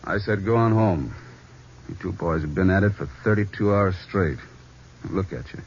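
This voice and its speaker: An actor playing a firm, commanding police chief in a 1940s radio drama. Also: male voice, low-pitched, normal speed, slight background noise. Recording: dull lo-fi 1940s radio recording.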